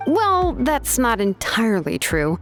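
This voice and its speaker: A woman's voice: a little high, very animated, slow pace, over loud music. A woman playing a laid-back, frank young female artisan in a video game, explaining things in a casually blunt way. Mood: explanatory. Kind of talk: video game character dialogue.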